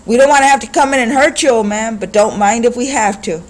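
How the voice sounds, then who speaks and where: A reader voicing rough, threatening men who talk in a slurred street manner. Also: medium pitch, fast, voice pushed up, natural voice, low-quality recording. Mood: menacing. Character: rough menacing men robbing an old man.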